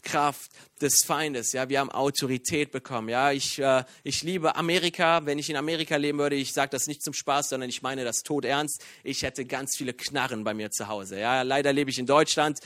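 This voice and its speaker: A man from Iran preaching a sermon in a lively, joking way, with many fillers and restarts. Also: mid-range voice, brisk, no room sound, clean studio sound. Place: church.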